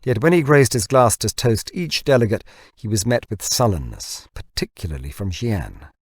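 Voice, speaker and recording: A man reading a novel aloud as scripted narration, in a measured and expressive storytelling manner. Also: lowish voice, slightly rough, dry recording, studio quality. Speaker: audiobook narrator.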